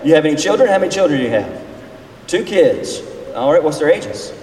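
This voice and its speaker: A man's voice: fairly high pitch, animated, fairly quick, darkish tone. A man in his forties preaching informally as a pastor, folksy and conversational as he engages the people in front of him. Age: 40s. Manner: folksy, conversational, questions the audience. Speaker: pastor leading a seminar session. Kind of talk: informal sermon with audience interaction. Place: large reverberant room, speaking to a group. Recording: distant echoey hall with noise.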